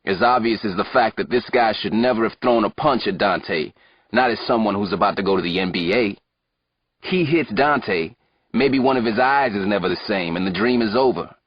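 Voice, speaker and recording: A man voicing a calm young basketball player in an audiobook, measured and persuasive. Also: on the low side, breathy edge, no echo, muffled recording.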